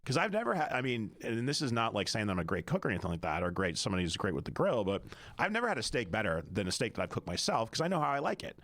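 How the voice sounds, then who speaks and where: A man's voice: lowish voice, sing-song, racing, few pauses, fairly bright tone, dry recording. A man hosting a casual radio talk show, chatty and full of fillers and asides.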